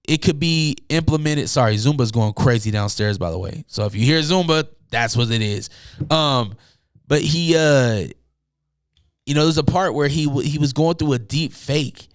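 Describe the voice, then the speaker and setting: Hoarse man chatting on a podcast. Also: a little high, no echo, studio quality. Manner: loose and rambling, full of fillers and asides. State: hoarse, losing his voice. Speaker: podcast host. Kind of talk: casual solo podcast chat. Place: home room.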